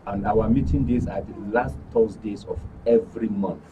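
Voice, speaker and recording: A man's voice: lowish voice, normal speed, mellow. A man with a Nigerian accent gives informational answers as a studio guest, repeating key details for emphasis. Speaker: studio guest, actors' guild administrator. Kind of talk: unscripted informational interview answer. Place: radio and TV studio. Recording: distant microphone with faint background noise.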